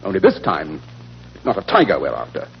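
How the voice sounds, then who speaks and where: A man's voice: sits low, wildly swooping pitch, a little fast, creaky, exaggerated voice. Superior male detective, commanding, clipped, dry wit, very varied intonation, radio play, dull noisy 1940s recording. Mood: measured, wry.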